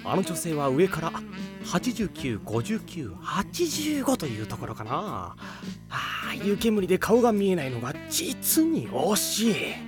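An actress playing a lecherous, smug, theatrical peeping tom in an anime audio drama, suave and melodramatic. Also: female voice, fairly low pitch, huge pitch swings, measured pace, over music. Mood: leering, smug.

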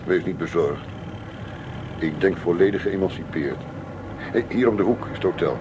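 A man acts a middle-aged businessman in a radio play, deep-voiced and cautious. He talks dryly and deliberately, a little uneasy. Clear noise runs through the recording. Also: lo-fi sound, natural voice. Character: cautious middle-aged businessman, married grandfather, sent to negotiate. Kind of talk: radio drama acting. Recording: noisy old radio drama recording.

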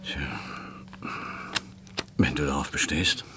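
An actor playing a loyal, dutiful middle-aged official in a German radio play, speaking in a measured, reserved, deliberate way to an old friend. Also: male voice, extremely low pitch, steady tone, noisy background. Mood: solemn reading.

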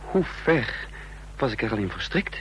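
An actor playing a haunted, confused man caught in espionage, narrating in brooding, halting fragments in a radio drama. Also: mid-range voice, big pitch swings, fairly bright tone. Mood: brooding unease. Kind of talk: Dutch radio drama acting, introspective narration. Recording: noisy old radio play recording.

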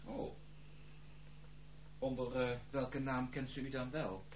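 An actor playing a wary, protective gentleman in a radio play, speaking with formal, guarded politeness. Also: mid-range voice, flat delivery, takes it slowly, lightly acted. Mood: guarded, courteous. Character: wary, protective gentleman houseguest, stiffly courteous. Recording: tinny old radio recording, faint noise.

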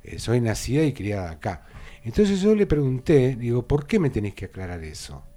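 A man's voice: medium pitch, big pitch swings, normal speed, dark tone. An older man from Buenos Aires with an Argentine accent, hosting a local radio interview in a chatty, anecdotal way with frequent fillers. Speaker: radio host.

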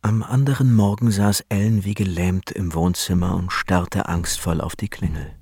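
A man narrating a radio drama in a measured, suspenseful storytelling manner. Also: sits low, fairly even intonation, darkish tone, breathy edge.

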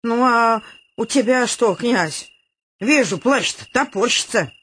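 Актриса играет властную, ворчливую пожилую хозяйку дома в театральной манере комедийного радиоспектакля. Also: female voice, a little high, expressive, takes it slowly, a bit bright, slightly rough, heavily acted. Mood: grumbling, bossy. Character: bossy, grumbling old lady of the house. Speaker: actress in a radio comedy play. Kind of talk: theatrical radio comedy acting.

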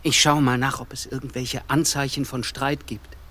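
A man's voice: mid-range voice, level tone, normal speed, pushed and bright, natural voice. An actor playing a wary, weary young man in a radio drama, speaking in a terse, reserved way. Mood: cautious.

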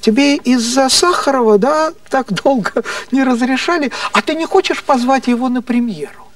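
An older man voicing an eager, wheedling male writer acquaintance in an excited, insistent way, as part of a theatrical monologue. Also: very high voice, moderate pace, no echo, recorded from a distance, pitched above the performer's own voice. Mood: eager coaxing.

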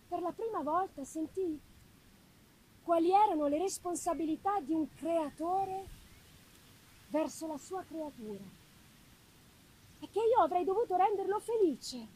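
High-voiced woman narrating a gothic novel. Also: darkish tone, a little hiss or hum, rough recording. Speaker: reader, narrator. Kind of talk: dramatic literary narration.

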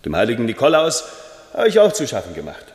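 A man playing a proud, gruff Greek sailor in a German radio play. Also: mid-range voice, wildly swooping pitch, fast. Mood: proud, stubborn. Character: proud Greek sailor home after two years at sea. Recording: long reverb.

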